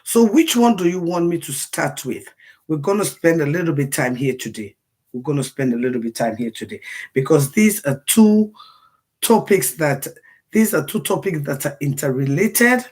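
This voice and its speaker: Hoarse Sierra Leonean woman livestreaming. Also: deep voice, animated, moderate pace, a little mellow. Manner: emphatic, repeats phrases for emphasis. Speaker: livestream commentator. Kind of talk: casual livestream talk.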